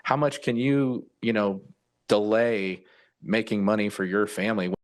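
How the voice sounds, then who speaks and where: A man's voice: lowish voice, steady tone, a little slow. An older American man, a retired expert, giving unscripted answers in an interview. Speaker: retired air traffic controller, expert interviewee.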